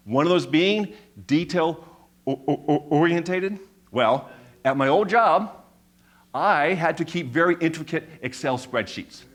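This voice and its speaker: A man's voice: on the high side, fairly bright tone. Man in his fifties who stutters. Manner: stutters, repeating sounds and words; measured, emphatic storytelling with repeated phrases. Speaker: speaker giving a talk. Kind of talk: storytelling talk on stage.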